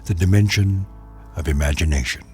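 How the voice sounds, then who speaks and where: A man hosting and narrating from a script in a measured, portentous dramatic style. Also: sits low, darkish tone, soft background music. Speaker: host and narrator.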